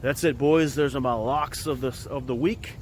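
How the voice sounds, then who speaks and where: Hoarse man rambling outdoors. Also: mid-range voice, steady tone, slight background noise. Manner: rambling, halting with many fillers. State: hoarse from a cold. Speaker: vlogger giving football picks. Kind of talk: casual outdoor vlog chat. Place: outdoors at an empty field in winter.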